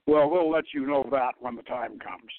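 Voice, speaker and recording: A man voicing a fatherly older general in a story reading, paternal and smoothly coercive with a quiet threat. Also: mid-range voice, normal speed, no echo, close to an everyday voice. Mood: fatherly, persuasive. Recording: thin phone-like radio line.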